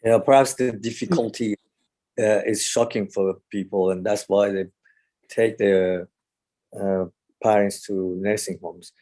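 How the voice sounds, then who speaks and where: A man from Iran speaking haltingly in a group discussion, searching for his words. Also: lowish voice, steady tone, dark tone. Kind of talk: discussion comments in an online meeting.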